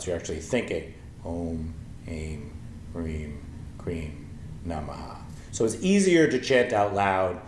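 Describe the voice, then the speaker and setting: A man in his forties with a low voice, teaching a yoga lesson slowly and gently with many pauses and ums. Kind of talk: calm spoken yoga lesson with chanting. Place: small wooden-floored room with paper screen, indoors. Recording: echoey room, faint ambient noise.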